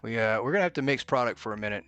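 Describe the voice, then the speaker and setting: A man's voice: mid-range voice, lively intonation, rapid-fire, fairly bright tone, dull audio, faint music behind. Man thinking aloud while streaming a game. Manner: thinks aloud, mutters to himself. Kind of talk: live gameplay commentary.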